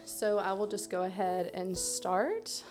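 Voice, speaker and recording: A young adult woman from Central Florida telling her life story in a steady, soft-spoken way, pausing often with "um". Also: medium pitch, over music. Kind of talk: personal testimony in a conversation.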